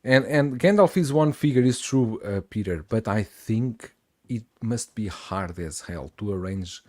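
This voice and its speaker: Forties guy hosting a late stream, kinda low mellow voice, lots of restarts, dry close mic. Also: lively intonation, no room sound. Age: 40s. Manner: halting, many restarts, reads chat aloud, laughs often. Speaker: host. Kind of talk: casual livestream chat. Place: Australia.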